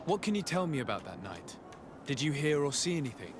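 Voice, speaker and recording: An actor playing a polite, composed noble envoy in a video game, formal, courteous and inquiring. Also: male voice, on the high side, very animated, fairly quick, background noise. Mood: probing. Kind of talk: video game cutscene acting.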